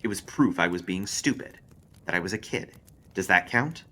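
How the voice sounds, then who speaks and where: A man's voice: low voice, animated, normal speed, sharp tone, slight background noise. A man voicing an uneasy male book club member earnestly confessing a childhood fear in a horror story. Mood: self-deprecating. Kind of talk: audiobook-style character voice in a horror story.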